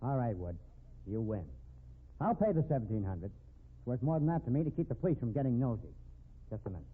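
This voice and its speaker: An actor playing a defiant, scornful blackmailer who blusters through a 1950s radio drama. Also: male voice, mid-range voice, very animated, fast talker, a little hiss or hum, lightly acted. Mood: grudging. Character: defiant, sneering male blackmailer, slippery crook. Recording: narrow phone-like old radio recording.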